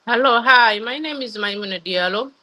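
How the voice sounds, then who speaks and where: A woman from West Africa speaking on a live online talk over a video call, loud and a little halting, somewhat distant from the mic. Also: on the high side. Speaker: panelist.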